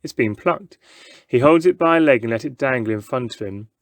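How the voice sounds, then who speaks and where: A man narrating a children's novel in an expressive storybook style. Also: mid-range voice, fairly quick, no room sound.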